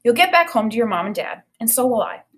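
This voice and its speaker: Woman voicing an earnest, animated, high-pitched young boy. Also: quick pace. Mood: reassuring. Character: earnest, reassuring young boy narrating his adventure.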